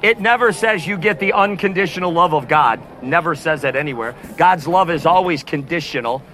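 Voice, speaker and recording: A man preaching loudly on the street outside a concert, without an amplifier, calling out to passers-by as neighbors. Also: on the high side, brisk, faint music behind. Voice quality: loud voice. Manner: forceful, repeatedly addressing "neighbors". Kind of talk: loud outdoor street preaching.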